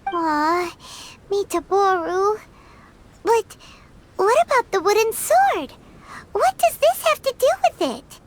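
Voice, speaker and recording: Tiny, very squeaky fairy girl. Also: lively intonation, slow pace, long pauses, a little hiss or hum, full character voice. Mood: concerned, gentle. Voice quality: squeaky, childlike. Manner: cutesy, speaks of herself in third person. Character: tiny childlike female fairy companion, naive, caring. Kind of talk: cartoonish video game voice acting.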